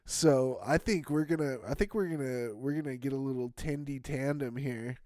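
A man's voice: medium pitch, moderate pace, a little mellow, no echo, studio quality. A man hosting a podcast, joking and teasing in casual chat. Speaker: podcast host.

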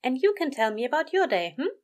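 A woman voicing a gentle, earnest male angel bookseller in an audio story, soothing, polite and a little prim. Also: sits high, very animated, a little fast, pitched above the performer's own voice.

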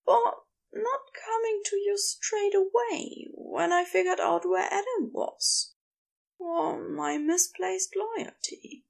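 A woman plays a guilt-ridden, tender male angel in a story reading. The voice sits high and moves slowly, with hesitant, formal phrasing that sounds anxious and apologetic. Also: gravelly, heavily acted. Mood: apologetic, nervous.

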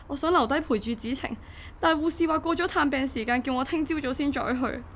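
A woman's voice: sits high, a little fast, a bit bright, a little hiss or hum. A woman acting a burdened, devoted young single mother in a radio drama, emotive and confiding, with a Hong Kong Cantonese accent. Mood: distraught.

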